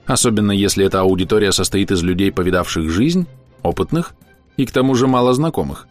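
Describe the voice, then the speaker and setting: A man narrating a novel in the first person, telling the story in a measured, conversational way. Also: low-pitched, faint music behind. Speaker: audiobook narrator.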